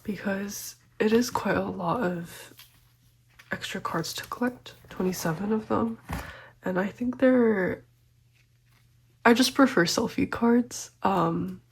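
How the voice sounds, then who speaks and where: A young woman with a touch of congestion talks slowly and softly, often dropping into a near whisper. Her pitch sits in a natural middle range, and she fills pauses with gentle ums. A faint hiss and small clicks sit under the voice. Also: creaky. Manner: gentle, chatty, lots of ums and small laughs. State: slightly sick, congested. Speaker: photocard collecting vlogger. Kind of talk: soft-spoken collecting vlog, often whispered.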